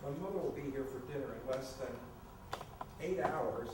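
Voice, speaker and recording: A man's voice: medium pitch, moderate pace, lightly acted. A man acting a fussy, anxious, defensive housemate in a comedic staged reading, exasperated and sarcastic. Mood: anxious, dry. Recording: distant, very echoey room, noisy ambience.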